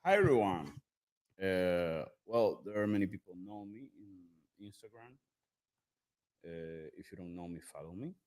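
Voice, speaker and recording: Slow, deep-voiced Dominican man. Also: expressive, a little mellow. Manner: hesitant, halting. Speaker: cigar shop manager. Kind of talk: casual self-introduction.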